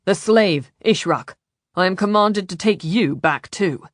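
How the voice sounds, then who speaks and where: A woman voicing a stern, businesslike male galley commander in an audiobook, flat, curt and commanding. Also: medium pitch, unhurried, dry recording, clean studio sound, natural voice.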